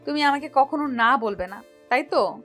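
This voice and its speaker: A sweet, vulnerable young woman acted by a woman in a romantic audio story. Her voice is high and soft, with a hesitant, questioning way of speaking, over light music. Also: animated, normal speed. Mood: sweet, coaxing. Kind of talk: Bengali audio story acting.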